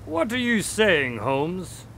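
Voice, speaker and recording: A man playing an earnest, measured doctor and detective's sidekick in a radio drama. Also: high-pitched, wildly swooping pitch, a bit bright, slight background noise, exaggerated voice. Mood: puzzled, thoughtful. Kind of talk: radio drama dialogue and storytelling.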